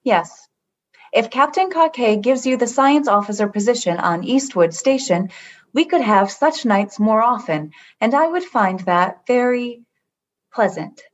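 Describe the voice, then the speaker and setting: An actress playing an earnest, kindly android lieutenant in a comedy radio play, formal and precise. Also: female voice, a little high, animated, unhurried, dull audio. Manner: formal, precise, avoids contractions. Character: earnest, literal-minded, kindly female android junior lieutenant.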